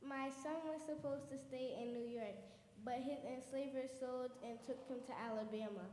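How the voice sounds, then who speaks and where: A woman's voice: sits high, fairly even intonation, normal speed, a little mellow, close to an everyday voice. A young woman in a church skit playing a determined formerly enslaved abolitionist woman with an earnest, testifying delivery. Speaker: youth performer in a church skit. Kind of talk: stage acting in a church program. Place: church hall. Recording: echoey hall with long reverb, faint noise.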